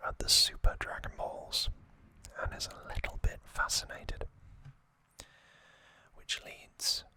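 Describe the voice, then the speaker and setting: A man speaks entirely in a breathy whisper, like an ASMR host walking through a story. The delivery is very slow and deliberate, broken into short soft phrases. The whisper has a very bright, crisp edge.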